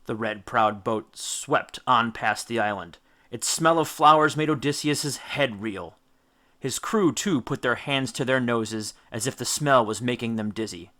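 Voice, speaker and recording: Male audiobook reader: mid-pitched, bright, forceful, theatrical narration of an adventure tale. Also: a little slow. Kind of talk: dramatic scripted storybook narration.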